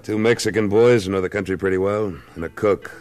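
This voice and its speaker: A man's voice: on the low side, steady tone, brisk, a little mellow, faint music behind, close to an everyday voice. A man acting a tough, commanding trail boss in a 1950s radio western, terse and authoritative. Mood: firm.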